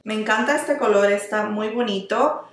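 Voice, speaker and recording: A woman's voice: mid-range voice, moderate pace, a little hiss or hum. A young woman reviewing makeup in a casual, chatty way, often restarting words and slipping into English terms. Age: young adult. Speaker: beauty vlogger. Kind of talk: casual product review while applying makeup. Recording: echoey small room.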